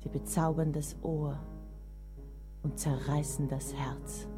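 An actress in a radio play playing a composer's composed companion, recounting memories in a measured, solemn, literary way. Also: female voice, low voice, little pitch movement, music in the background. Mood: solemn, reflective. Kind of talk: scripted radio play narration.

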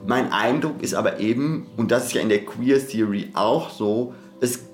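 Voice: medium pitch, moderate pace, faint music behind. A podcast co-host in a reflective, conversational discussion, speaking in a discursive academic way and often breaking off to rephrase. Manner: discursive, hesitant, self-interrupting academic talk.

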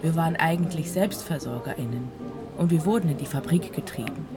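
Low-pitched woman narrating over loud music. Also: brisk. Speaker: audio play narrator. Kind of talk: scripted audio play narration in second person. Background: prominent music.

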